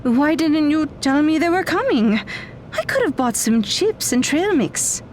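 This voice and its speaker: A woman's voice: high-pitched, big pitch swings, a little slow, slight background noise. A woman playing a kind, upbeat middle-aged mother in an audio drama. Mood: chatty. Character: kind, upbeat widowed mother of a teenage daughter.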